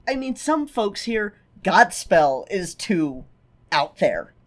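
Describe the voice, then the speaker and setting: A woman's voice: mid-range voice, very animated, takes it slowly. A woman co-hosting a podcast, chatting casually with wry, emphatic opinions. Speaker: podcast co-host.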